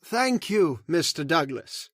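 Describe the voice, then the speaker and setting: A man's voice: on the high side, sing-song, takes it slowly, fairly bright tone, dry recording, clean studio sound, full character voice. A man playing a genteel village reverend, formal, polite and slightly hesitant, in an old-time radio drama style. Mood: mildly puzzled. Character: courteous, genteel English village reverend, mildly dismayed.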